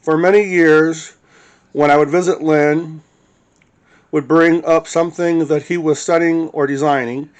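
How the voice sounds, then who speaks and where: A man's voice: a little high, steady tone, measured pace, clean tone. A man reading witness statements aloud as the narrator of his own recording.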